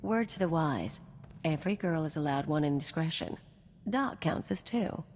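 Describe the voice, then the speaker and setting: Low-voiced, sardonic femme fatale. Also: female voice, lively intonation, moderate pace, a little mellow, big-room sound, a little hiss or hum. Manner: aloof, sardonic, haughty. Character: sardonic, bored alien femme fatale with a gun. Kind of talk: video game cutscene acting.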